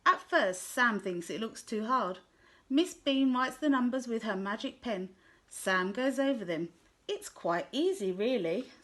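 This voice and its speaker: A woman reading a children's picture book aloud in a gentle, clear storytelling manner. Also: on the high side, animated, unhurried. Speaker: storybook reader.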